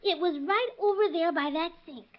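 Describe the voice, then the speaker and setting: Earnest high-voiced little girl witness. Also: moderate pace. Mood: earnest, timid. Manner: artless, hesitant, deferential. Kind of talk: 1930s radio crime drama acting. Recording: dull vintage 1930s radio recording.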